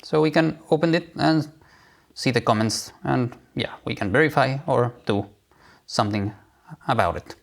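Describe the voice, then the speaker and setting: A man presenting a live software demo, explaining it informally step by step. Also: medium pitch, normal speed, vocal fry.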